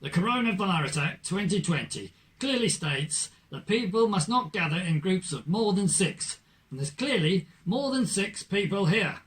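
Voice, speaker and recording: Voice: medium pitch, expressive, normal speed, far from the mic, slight background noise, close to an everyday voice. A performer playing an officious, insistent police officer in a scripted puppet show. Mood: stern.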